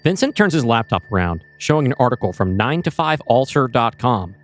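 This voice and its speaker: A man's voice: medium pitch, very animated, fairly quick, faint music behind. A man narrating a scripted screenplay in the present tense with a dramatic storytelling manner.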